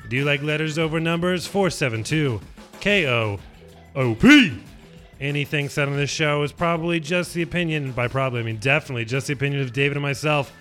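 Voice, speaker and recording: A man's voice: a little high, a little fast, a bit bright, soft background music. Playful male radio co-host. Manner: playful, boastful joking. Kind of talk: casual radio banter. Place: radio studio.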